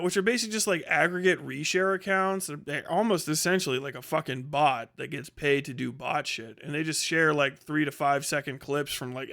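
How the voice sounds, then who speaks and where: A man's voice: high-pitched, fairly bright tone. A man hosting a livestream, ranting casually with strong opinions in a blunt, profane, dismissive manner. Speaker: livestream host.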